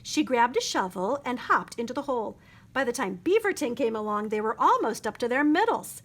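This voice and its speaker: A woman's voice: a little high, big pitch swings, a little fast, fairly bright tone. A woman reading a picture book aloud expressively as a children's librarian at storytime.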